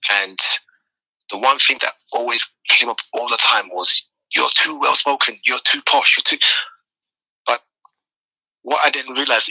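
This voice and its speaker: A man's voice: mid-range voice, pushed and bright, slightly rough, dry recording, low-quality recording. A man from Nigeria, a guest in an interview, telling his story animatedly and often repeating words while he gathers his thoughts. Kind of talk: conversational interview.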